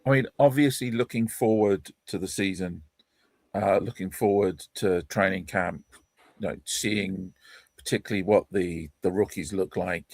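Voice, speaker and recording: A British man co-hosting a casual podcast, talking in a measured, slightly hesitant way. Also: low-pitched, a little mellow, no room sound. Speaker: podcast co-host.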